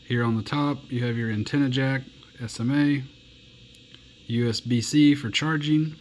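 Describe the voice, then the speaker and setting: A man's voice: medium pitch, a little hiss or hum. A man giving a casual, plainspoken hands-on demo of a pocket radio, walking through its buttons and bands. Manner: relaxed, plainspoken, slow and unhurried. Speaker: radio reviewer.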